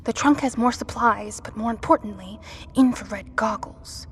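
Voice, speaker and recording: A tense, protective woman, played by an actress takes charge in an audio disaster drama. Her high voice gives firm, clipped instructions over faint background noise. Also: moderate pace, lightly acted. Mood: hushed, careful.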